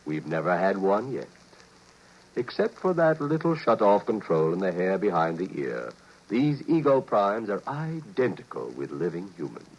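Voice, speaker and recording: A sly little back-room dealer, with a very low, husky voice that purrs and wheedles. He coaxes his customer along with smooth, oily courtesy. The sound is dull and muffled, like an old radio broadcast. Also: big pitch swings, moderate pace, heavily acted. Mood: wheedling. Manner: smooth, ingratiating, keeps saying brother. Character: sly small male dealer of illegal copies. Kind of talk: 1950s radio drama acting.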